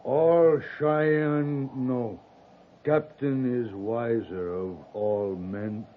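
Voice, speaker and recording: An actor playing a proud, guarded Cheyenne chief who speaks solemn, halting, stilted broken English in 1950s radio western style. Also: male voice, mid-range voice, fairly even intonation. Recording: noisy, muffled lo-fi 1950s radio recording.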